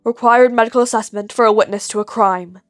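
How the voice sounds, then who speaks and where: A woman voicing a gruff, dry, annoyed grown man, a stern hero, in a deadpan, slow manner for an audio story. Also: fairly high pitch, dry recording, natural voice.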